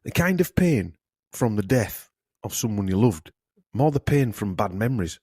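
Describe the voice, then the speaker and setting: Male podcast host, husky, low-ish, emphatic and opinionated, dry close mic. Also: sing-song, moderate pace, darkish tone, no echo. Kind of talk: casual conspiracy chat.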